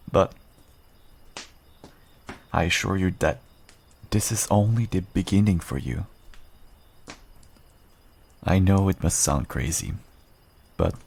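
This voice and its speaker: A man playing a calm, protective werewolf rogue in a fantasy roleplay audio drama, speaking in an earnest, measured way. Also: low-pitched, gravelly, full character voice. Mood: earnest, reassuring.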